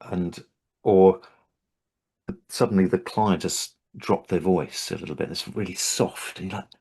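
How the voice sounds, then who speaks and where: Low-voiced older man chatting. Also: measured pace, creaky, a little mellow, breathy edge. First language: English. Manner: thoughtful, hesitant with frequent restarts. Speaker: Havening trainer and host. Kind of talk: casual interview chat.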